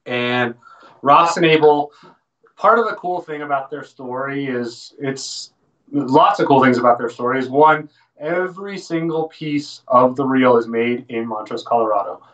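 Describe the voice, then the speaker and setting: The sound is a little distant and dull, as from a webcam in a small shop room. An American man in his thirties talks informally and informatively at a medium pitch. He comes across as a presenter explaining things on a casual livestream. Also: fairly bright tone. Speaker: fly fishing shop presenter.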